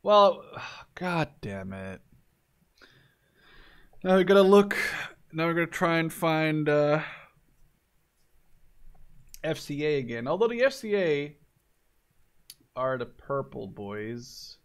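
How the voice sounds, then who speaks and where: A man in his twenties talks through a video game in a fairly high voice. His commentary is casual and rambling, with sentences that interrupt themselves and loose, sweary language. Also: very animated, slow, long pauses, clear, resonant tone. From Canada or the Netherlands. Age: 20s. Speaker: gaming video creator.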